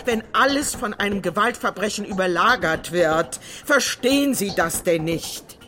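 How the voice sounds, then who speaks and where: A woman's voice: mid-range voice, animated, moderate pace, sharp tone, faint music behind. An actress playing a frustrated legal professional who argues formally and insistently in a radio drama, with noise around her. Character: frustrated, formal female prosecution colleague.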